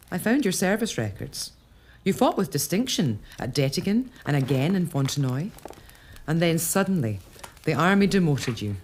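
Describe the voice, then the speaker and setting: A composed castle lady. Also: very deep voice. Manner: measured, gently defiant. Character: composed early-1800s Scottish lady of a castle. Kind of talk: spoken monologue to a ghost.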